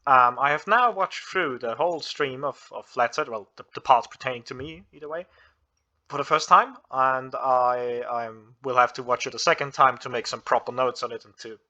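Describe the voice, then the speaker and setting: Young Austrian man hosting his channel, bright voice, slightly muffled lo-fi recording. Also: medium pitch, normal speed. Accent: Austrian German. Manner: earnest, many restarts and fillers. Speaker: physics student and channel host. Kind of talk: casual explanatory monologue.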